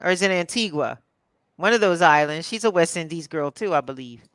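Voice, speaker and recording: A woman from Philadelphia chatting casually as a co-host, relaxed and chatty. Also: fairly low pitch, no echo. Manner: relaxed, chatty, drawn-out fillers. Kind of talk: casual chat.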